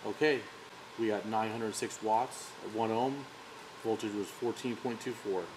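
A man's voice: lowish voice, steady tone, measured pace, noisy background. A man giving a casual, matter-of-fact technical demonstration as a company product demonstrator. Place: indoor workshop.

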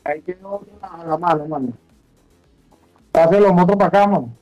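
A high-pitched Venezuelan man directs his teammates during an online game. He is bossy and slangy and repeats his commands, with faint music underneath. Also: moderate pace, a little mellow, low-quality recording. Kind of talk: casual game callouts. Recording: music behind the voice.

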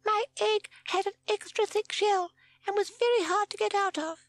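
A woman voicing a tiny, proud, inquisitive storybook baby bird with a squeaky voice. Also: moderate pace, no room sound. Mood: cross, proud.

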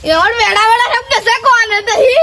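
A man voicing a self-pitying, storm-ruined villager in broad comedy style, wailing loudly in a south-western Bangladeshi accent with a pitched-up cartoon effect. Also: steady tone, slight background noise, heavily acted. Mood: wailing despair. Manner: loud drawn-out wailing and lamenting.

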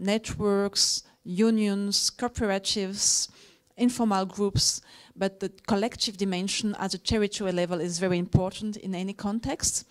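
A woman's voice: medium pitch, a little mellow. A woman in her forties, not a native English speaker, giving a university lecture in a seminar room with many fillers and self-corrections. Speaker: guest lecturer. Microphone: far room mic, then handheld mic. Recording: noisy room recording.

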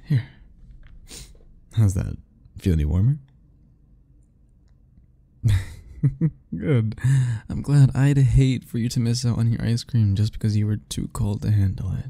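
A man's voice: fairly low pitch, unhurried, gravelly, long pauses, dark tone. A young man doing an ASMR roleplay as a teasing, easygoing roommate talking to the listener, laughing often.

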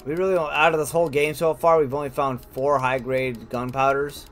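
A man's voice: medium pitch, level tone, brisk, fairly bright tone, slight background noise. A man giving casual, informal gameplay commentary as a let's play host, thinking aloud and reacting as he goes.

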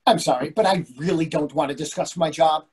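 A man's voice: a little high, brisk, a little mellow, close to an everyday voice. An older man playing an irritable, impatient husband on vacation in a comedy play reading, curt and defensive. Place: indoors on a video call. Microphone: video call microphone.

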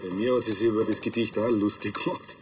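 An actor playing an earnest, plain-spoken chimney sweep with a Bavarian accent in a TV crime comedy. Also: male voice, lowish voice, fairly even intonation, fast, noisy background, close to an everyday voice.

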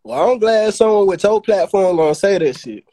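A man's voice: high voice, moderate pace, dark tone, no room sound. A young man with an Alabama accent, a rapper joining a livestream by phone, laid-back and agreeable. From Birmingham, Alabama. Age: young adult. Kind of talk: casual livestream chat. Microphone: phone on a video call.

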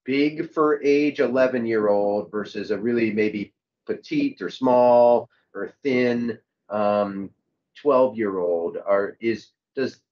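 A man's voice: lowish voice, darkish tone. A middle-aged man, a doctor moderating a panel discussion over a video call from an office. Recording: dull video-call audio.

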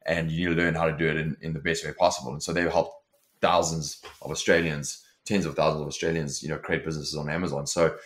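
Deep-voiced South African man. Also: little pitch movement, fast talker. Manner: many restarts and fillers, fluent and forward-driving. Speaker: software company founder, guest. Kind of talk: casual interview talk. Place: home office with shelves.

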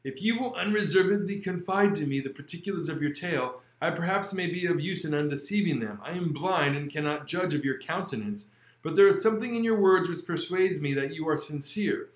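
A man voicing a kind, blind, elderly male exile who speaks in a gentle, earnest, measured way. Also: fairly high pitch, voice pushed up, lightly acted. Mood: kindly. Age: older. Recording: roomy echo.

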